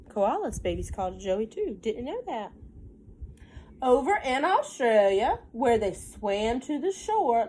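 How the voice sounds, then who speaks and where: Animated, higher-pitched woman reading to children. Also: rough recording. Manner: sing-song, expressive, addresses young children. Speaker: teacher reading a picture book aloud to children. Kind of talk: animated children's read-aloud with simple questions and asides.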